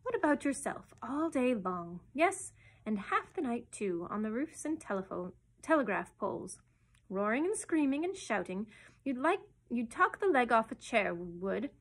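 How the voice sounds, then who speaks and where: Woman playing a sharp-tongued, higher-pitched scolding nanny. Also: big pitch swings, slow, hardly any pauses, heavily acted. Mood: indignant. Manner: indignant, stern, colloquial.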